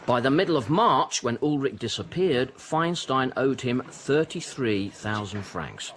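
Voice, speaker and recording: A man's voice: mid-range voice, expressive, unhurried, a little hiss or hum, lightly acted. An actor playing a dutiful junior police detective in a radio drama, reporting to his chief in a matter-of-fact manner.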